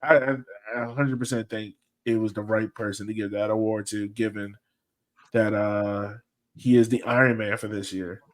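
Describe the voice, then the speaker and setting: A man's voice: on the low side, fairly even intonation, moderate pace. Casual guy hosting a soccer podcast. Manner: rambling, colloquial, conversational. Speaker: podcast host. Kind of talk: casual soccer fan chat.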